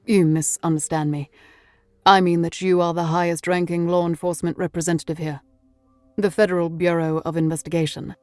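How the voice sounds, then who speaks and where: A woman voicing a cultured British gentleman barrister in an audiobook, precise, courteous and aloof. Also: on the low side, level tone, brisk, no echo, faint music behind, close to an everyday voice. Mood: polite, composed. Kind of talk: audiobook character voice.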